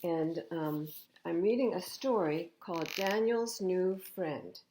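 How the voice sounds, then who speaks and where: An older woman reading children's storybooks aloud as a storytime host, expressive and emphatic, with chatty asides to young listeners. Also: on the low side, slow, slight background noise, low-quality recording. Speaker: library storytime reader.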